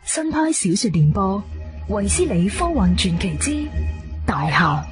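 A woman announcing a radio drama in suspenseful, measured scripted narration. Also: mid-range voice, big pitch swings. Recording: loud music under the voice.

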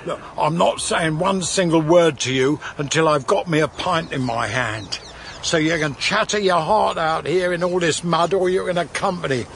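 A man's voice: fairly high pitch, normal speed, gravelly, a bit bright, slight background noise. An actor playing a folksy, teasing old-time countryman in a radio drama, with archaic turns of phrase. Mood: grim, matter-of-fact. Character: cagey, chatty old-time English countryman ghost, gruffly folksy.

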